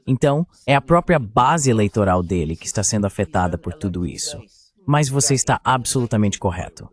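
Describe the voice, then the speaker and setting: A man speaking in a measured, explanatory way, as in a dubbed political discussion. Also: fairly low pitch, animated. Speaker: AI voice reading a translated political commentator.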